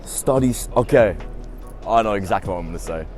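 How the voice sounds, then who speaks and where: A young man in his twenties with a lowish voice gives upbeat, chatty answers in a casual interview, peppering them with "I mean" and "um". Clear background music plays behind him. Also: animated, brisk, darkish tone. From United Kingdom. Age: 20s. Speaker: students' union officer.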